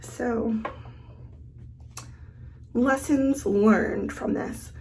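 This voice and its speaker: A woman's voice: fairly high pitch, fairly even intonation, very slow, darkish tone, slight background noise. A woman vlogger who is sick and losing her voice, chatting casually while she does her makeup. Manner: chatty, thinks aloud while applying makeup. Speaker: beauty vlogger. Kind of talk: casual get-ready-with-me makeup chat.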